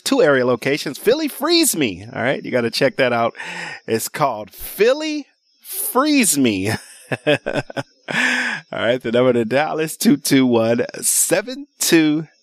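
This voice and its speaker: A man's voice: mid-range voice, dry recording. A man hosting a live radio shopping show from a studio, rattling off deals in an upbeat sales patter and repeating the call-in number. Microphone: studio microphone.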